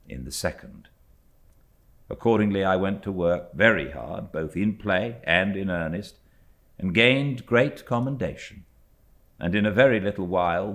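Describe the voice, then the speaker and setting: A man with a British accent reading a classic novel as an audiobook narrator, in a measured, expressive storytelling manner. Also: low voice. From Britain. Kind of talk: literary scripted narration.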